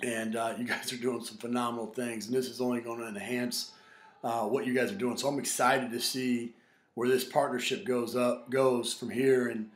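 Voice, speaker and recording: A man's voice: mid-range voice, little pitch movement, moderate pace. Enthusiastic male podcast host, roomy sound. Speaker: podcast host, strength coach. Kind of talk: podcast interview and promo.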